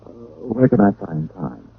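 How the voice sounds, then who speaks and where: An actor playing a wry, deadpan hard-boiled private detective in a 1940s-style radio drama. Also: male voice, sits low, normal speed, breathy edge, no room sound, full character voice. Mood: teasing. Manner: deadpan, wisecracking. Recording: noisy, tinny old radio recording.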